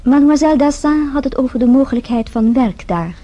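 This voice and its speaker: A woman's voice: high-pitched, animated, a little fast, warm tone, lo-fi sound. An actress playing a deferential, slightly sly female hotel employee in a radio play, speaking politely and carefully.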